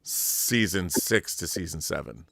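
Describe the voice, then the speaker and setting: Eager lowish-voiced man hosting. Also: lively intonation, a little slow, creaky, fairly bright tone, no echo. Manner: eager, fan-like, jumps between thoughts. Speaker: interview host. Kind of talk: casual chat.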